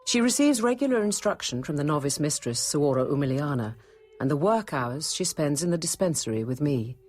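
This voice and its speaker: An actress playing a watchful, compassionate middle-aged nun, narrating her story in a measured, intimate radio drama style. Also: sits low, animated, darkish tone, dry recording. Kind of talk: scripted first-person radio drama narration. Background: music.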